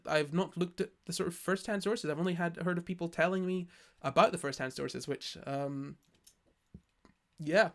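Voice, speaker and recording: High-voiced Scottish man rambling. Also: fairly bright tone. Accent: Scottish, softened by living in England. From Scotland. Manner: rambling, self-correcting, lots of restarts. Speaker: streamer. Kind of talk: casual chat, reading live chat.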